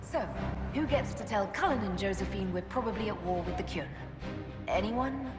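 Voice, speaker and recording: A woman's voice: medium pitch, expressive, moderate pace, lightly acted. An actress playing a resolute, wry female inquisition leader in a fantasy video game. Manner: decisive, wry. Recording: hall reverb with music behind.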